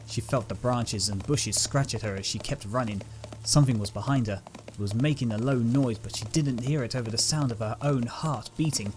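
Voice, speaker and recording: A man's voice: medium pitch, moderate pace, a little mellow, slight background noise, low-quality recording. A man narrating a dramatic scripted story as its reader.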